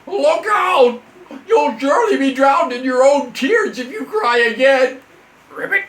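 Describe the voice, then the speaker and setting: A man's voice: very high-pitched, expressive, measured pace, a bit bright, voice pushed up, full character voice. A man voicing a precocious, self-important frog in a declamatory way for a children's bedtime story. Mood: alarmed. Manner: punctuates speech with ribbits, declamatory. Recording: echoey room, off the mic.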